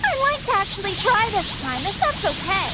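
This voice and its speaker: A woman's voice: very high-pitched, very animated, moderate pace, over loud music. A woman voicing a gluttonous, playful and menacing female android villain in an anime game, taunting and smug. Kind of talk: English anime game dub acting.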